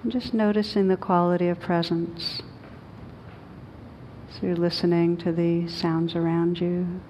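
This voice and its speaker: A woman leading a guided meditation as a teacher, speaking gently and soothingly, unhurried, with long pauses. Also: on the low side, little pitch movement, slight background noise.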